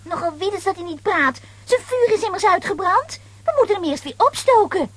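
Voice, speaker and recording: A woman playing a plucky, excitable little girl adventurer in a children's audio play. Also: very high voice, fairly quick, no echo. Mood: eager, bossy.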